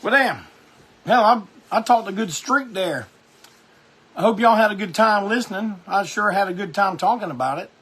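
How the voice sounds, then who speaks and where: A man's voice: high-pitched, moderate pace, pushed and bright, slight background noise. A man hosting a music show, talking casually and enthusiastically about records, rambling with lots of restarts.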